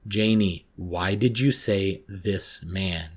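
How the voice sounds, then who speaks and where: A man's voice: fairly low pitch, moderate pace, breathy edge. A man voicing a concerned, urgent female school counselor in an audio story, with insistent, emphatic questions.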